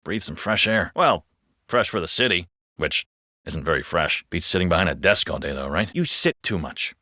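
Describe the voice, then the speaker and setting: A world-weary male patrol cop from a video game. He bickers with very animated intonation and a bright, tense tone. The sound is dry and close, the delivery sardonic and sweary. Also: low-pitched, moderate pace, no room sound. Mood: wry, bickering. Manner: sardonic, profane, conversational bickering. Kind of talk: video game character barks and banter.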